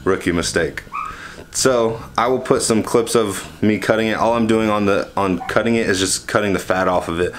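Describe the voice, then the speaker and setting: A man's voice: fairly low pitch, moderate pace, slight background noise. A young man chatting casually through a cooking how-to, rambling and correcting himself as he films with a handheld camera in a small home kitchen. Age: young adult. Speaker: vlogger. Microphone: handheld camera at arm's length.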